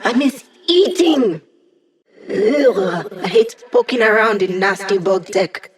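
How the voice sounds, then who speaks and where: A young woman hacker living as a hologram in a video game. She is cocky and sardonic, tossing out slangy lines and teasing her squad in a medium-pitched voice. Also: animated, slow. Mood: wistful.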